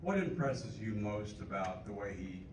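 A male reporter asks his question in a lowish voice. The pickup is roomy and noisy, as at a press conference. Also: expressive, normal speed. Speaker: sports reporter. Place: indoor press conference room. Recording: roomy far pickup, background noise.

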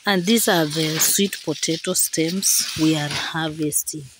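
A middle-aged woman with a Kenyan English accent, slowly explaining farm work step by step in an outdoor vlog filmed on a handheld phone. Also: sits low, background noise. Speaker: vlogger. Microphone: handheld phone camera.

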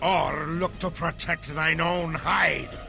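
Very gravelly savage vampire brute. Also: male voice, on the high side, fairly even intonation, slow pace, over music, exaggerated voice. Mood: threatening. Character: savage, gruff male vampire brute, bare-chested and bearded. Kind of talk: archaic video game acting.

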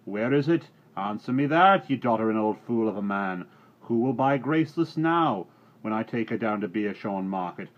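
A man voicing an angry, grasping farmer who shouts and scolds. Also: lowish voice, expressive, normal speed, lightly acted. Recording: dull recording, faint hiss.

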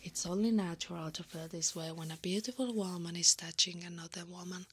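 Soft whispering centaur woman, acted by a woman, teasing. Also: on the low side, brisk, slight background noise, close to an everyday voice. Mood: seductive, reassuring. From Italy. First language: Italian. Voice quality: breathy, often whispering. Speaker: ASMR roleplay performer. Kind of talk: ASMR roleplay.

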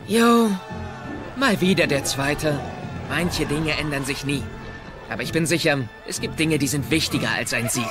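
A man plays a good-hearted young Viking in a cartoon audio drama. His voice is high and wryly self-deprecating, with music behind it. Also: normal speed, pauses often, natural voice. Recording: voice over music bed.

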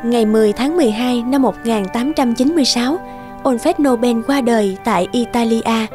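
A woman's voice: fairly high pitch, brisk, a little mellow, close to an everyday voice. A woman reading scripted storytelling narration. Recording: music behind the voice. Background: music.

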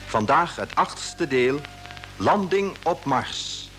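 A man announcing a vintage radio drama in a deliberate, dramatic way. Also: on the high side, lively intonation, fairly bright tone, faint music behind, low-quality recording.

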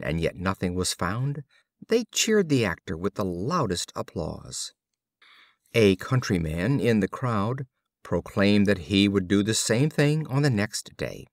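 Low-voiced man reading fables expressively. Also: dry recording, studio quality. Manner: expressive, deliberate storytelling delivery. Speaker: audiobook reader. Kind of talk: scripted storybook narration of fables.

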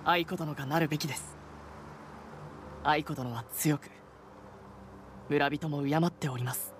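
An actor playing an earnest, loyal young samurai retainer in a game, speaking deferentially and formally. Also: male voice, fairly high pitch, fairly even intonation, a little slow, a bit bright, slight background noise. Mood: devoted. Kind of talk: dramatic game acting.

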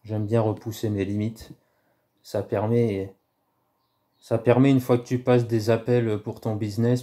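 A man in his thirties vlogging casually to a phone camera at home, talking in a halting, rambling way with many restarts. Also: lowish voice, mellow. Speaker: YouTuber doing a prank call. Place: small room indoors at home. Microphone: phone camera held low at arm's length.

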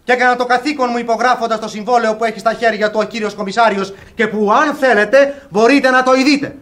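A proud young lover in a classic radio comedy. His voice is remarkably high for a man, and he declaims formally, ardent and defiant. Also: fairly even intonation, a bit bright. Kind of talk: theatrical radio comedy acting.

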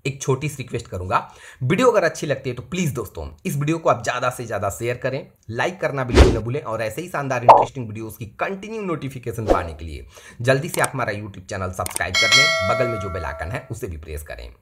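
A man's voice: medium pitch, sing-song, quick pace. A man hosting a step-by-step phone repair tutorial, talking to viewers as friends and repeating each step. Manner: repetitive, addresses viewers as friends. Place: indoors at a table, hands-only camera view.

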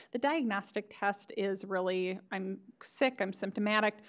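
Woman health official briefing a board remotely; slight fry, halting and filler-heavy. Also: mid-range voice. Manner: hesitant, frequent fillers. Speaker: county public health official.

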